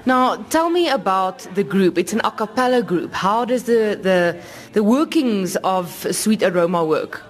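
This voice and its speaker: A woman radio interviewer asking brisk, probing questions. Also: mid-range voice, expressive.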